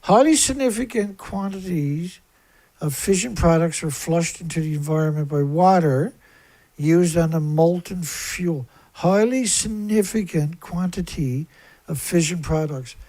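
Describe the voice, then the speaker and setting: A man with a squeaky voice hosting a live stream in a rambling, blunt, aggrieved and emphatic monologue that often repeats itself. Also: a little slow, warm tone. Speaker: live stream host, environmental activist.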